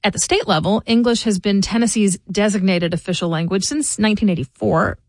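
A middle-aged woman hosts a local news podcast and reads scripted commentary in a measured, informative way. Her voice sits in a middle range. The recording is close and dry, with no room sound. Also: medium pitch, no echo. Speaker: podcast host.

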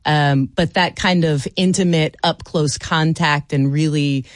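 A woman speaking as a guest on a radio panel, thoughtful and quick to laugh. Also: low-pitched, steady tone, no room sound, clean studio sound. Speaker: guest expert.

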